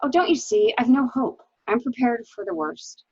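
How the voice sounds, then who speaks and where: A woman playing a bitter, expressive cornered wife. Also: fairly high pitch, moderate pace, husky, no room sound. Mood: defensive, despairing. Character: resigned, bitter wife caught beside her murdered husband. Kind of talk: dramatic reading of a murder mystery. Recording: dull, lo-fi audio.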